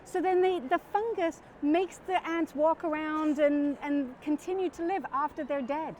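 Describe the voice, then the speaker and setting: A middle-aged woman born in Guyana, an author chatting as a guest in an interview, talking in quick bursts and correcting herself as she goes. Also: high-pitched, darkish tone, slight background noise. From born in Guyana, raised abroad. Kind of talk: casual interview chat. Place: parked van.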